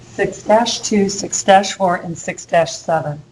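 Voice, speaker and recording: Lecturer lady, voice going hoarse, chatty with lots of ums, roomy echo. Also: on the low side, takes it slowly. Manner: casual, chatty, uses fillers. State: losing her voice. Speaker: college instructor. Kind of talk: classroom lecture. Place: classroom. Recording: echoey lecture room with faint hum.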